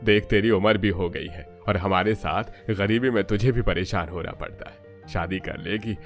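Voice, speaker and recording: A man's voice: on the low side, normal speed, voice pushed down, close to an everyday voice. A man acts out a stern middle-aged father from a poor household in a cartoon. He scolds and insists with a weary, resigned worry, over prominent background music. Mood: urging.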